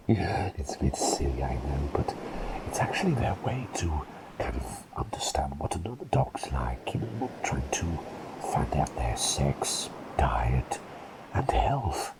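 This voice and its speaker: A man's voice: a bass voice, poor audio. Whispering man, intimate eccentric ASMR roleplay. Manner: eccentric, rambling, repeats phrases, addresses listener intimately. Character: eccentric, chummy plague doctor whispering dog facts. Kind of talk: whispered ASMR roleplay, sharing facts with the listener.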